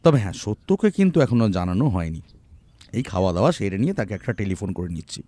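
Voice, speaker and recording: Gentleman detective, acted by a man: shrewd, composed, gently teasing, dark mellow voice, audio drama. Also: on the low side, big pitch swings, quick pace, dry recording. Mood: composed, practical.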